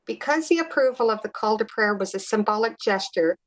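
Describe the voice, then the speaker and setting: A woman's voice: mid-range voice, animated, brisk, low-quality recording. A woman giving a formal, prepared speech as a city councillor.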